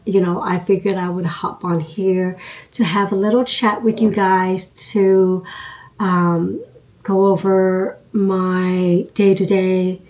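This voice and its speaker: A woman's voice: medium pitch, darkish tone. A woman in her fifties getting over a cold, nasal and congested, chatting casually to her viewers about her routine. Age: 50s. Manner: unhurried, chatty, frequent ums and you knows. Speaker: beauty vlogger. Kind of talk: casual chat to camera.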